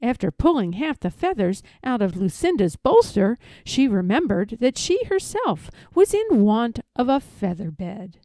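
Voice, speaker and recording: A woman reading a children's story aloud in an expressive, measured, emphatic storytelling manner. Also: a little high, no room sound. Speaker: storybook reader.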